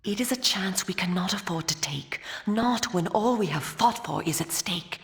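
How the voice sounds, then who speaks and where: An actress playing a determined, loyal vampire rebel in a fantasy game, speaking earnestly and formally. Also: female voice, mid-range voice, expressive, normal speed, close to an everyday voice. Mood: pleading, determined. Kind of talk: theatrical fantasy game cutscene acting.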